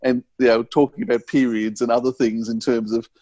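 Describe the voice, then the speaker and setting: A man hosting a conversational podcast interview, tentative and halting as he works towards each question. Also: medium pitch, fairly even intonation, warm tone, dry recording. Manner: tentative, halting, self-correcting.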